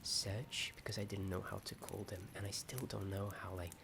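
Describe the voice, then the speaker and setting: A man whispering a hesitant, rambling ASMR chat in a soft, often breath-only voice. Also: on the low side, level tone, moderate pace, vocal fry. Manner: hesitant, rambling, self-deprecating. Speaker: ASMR video host. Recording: strong ambient noise behind whisper. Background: added ambience sound.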